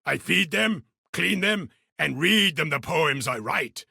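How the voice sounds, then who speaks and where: A man's voice: a little high, lively intonation, slow, vocal fry, bright, forceful tone, slightly rough, no room sound, studio quality, full character voice. A man playing a boisterous, eccentric, cheerfully mad beast hunter in a video game, talking with theatrical exuberance. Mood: earnest, musing. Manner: third-person self-talk, theatrical, exuberant delivery. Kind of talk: video game character voice lines.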